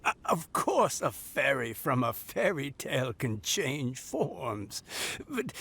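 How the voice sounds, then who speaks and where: An actor playing a kindly, sentimental old grandfather in a video game, speaking haltingly and wistfully with a creaky aged voice. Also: mid-range voice, very animated, full character voice. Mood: tender, wistful. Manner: halting, gently chuckling, wistful. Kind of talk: video game cutscene acting.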